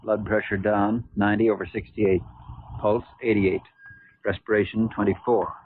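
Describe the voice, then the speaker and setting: An actor playing a calm, businesslike male anesthesiologist in an old-time radio drama, giving flat clinical reports. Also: fairly low pitch, normal speed, lightly acted. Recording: noisy, tinny old radio recording.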